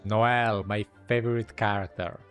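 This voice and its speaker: A man's voice: lowish voice, fairly even intonation, a little slow. A man giving casual reaction commentary while streaming a video game. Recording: faint game music behind.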